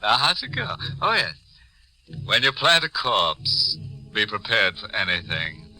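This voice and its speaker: A man's voice: fairly low pitch, big pitch swings, a little slow, pushed and bright, faint music behind, exaggerated voice, low-quality recording. An American actor playing a gleefully macabre radio horror host, sly and punning as he savors each word. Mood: ghoulish glee. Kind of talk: 1940s radio horror hosting. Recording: vintage 1940s radio broadcast.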